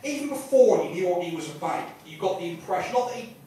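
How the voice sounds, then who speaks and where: A man with an English accent doing rambling, sweary stand-up, halting and repeating himself as he digresses in front of a live audience. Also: high-pitched, animated. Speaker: stand-up comedian. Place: small live comedy venue in a pub. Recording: roomy, noisy, distant live club recording. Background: audience laughter and room noise.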